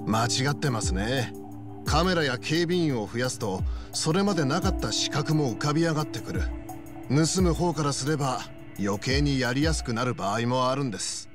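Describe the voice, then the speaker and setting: An actor playing an earnest former yakuza underling in a video game, polite to seniors with a rough, wary streak. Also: male voice, medium pitch, lively intonation, normal speed, close to an everyday voice. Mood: cool, explanatory. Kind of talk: Japanese video game voice acting. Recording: music playing behind the voice. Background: background music.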